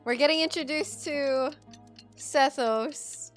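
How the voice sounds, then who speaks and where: A woman with a fairly high voice, reacting casually on a game stream. She takes her time between phrases, with faint game music behind her. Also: slow pace. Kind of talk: casual live playthrough reactions. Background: game music and dialogue.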